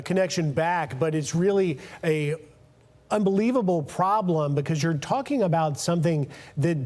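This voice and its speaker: A man's voice: a little high, darkish tone. A man in his fifties with an American accent, anchoring live television news and interviews. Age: 50s.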